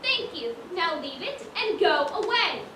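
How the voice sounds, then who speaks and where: Echoey, very high-pitched indignant woman shopkeeper acted by a woman. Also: very animated, a little slow, recorded from a distance, heavily acted. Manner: exasperated, haughty, scolding. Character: indignant, proper woman shopkeeper, sharp-tongued and put-upon. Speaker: stage actor in a one-act play. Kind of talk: projected amateur stage acting. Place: theatre stage, distant microphone. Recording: echoey, noisy stage recording from afar.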